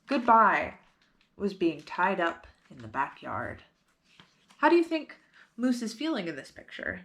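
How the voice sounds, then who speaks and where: A woman reads a picture book to young children as a storytime host. Her delivery is slow and expressive, with a lively swing in pitch. She addresses the children directly and simply. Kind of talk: children's read-aloud with questions to young listeners.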